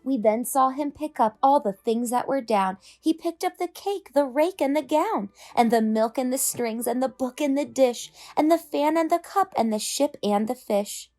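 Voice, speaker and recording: A woman with a high voice reads a children's storybook aloud. Her delivery is expressive and measured, following the rhymes for young listeners.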